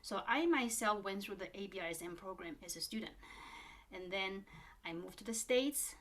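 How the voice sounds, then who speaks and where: A middle-aged woman, a piano teacher, talks casually to her viewers. Her manner is friendly and explanatory, and she fills her pauses with "um" and "uh" as she goes. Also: medium pitch, animated, fairly bright tone. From born in Taiwan, grew up in New Zealand. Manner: friendly and explanatory, with frequent fillers. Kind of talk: casual talk to camera answering a viewer question.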